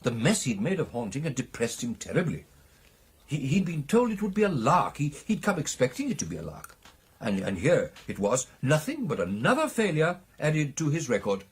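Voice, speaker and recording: An actor playing an excitable British gentleman recounting a ghostly encounter in a radio drama, with theatrical, animated delivery. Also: medium pitch, normal speed, breathy edge, full character voice. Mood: animated, musing. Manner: theatrical, animated storytelling with exclamations and hesitations.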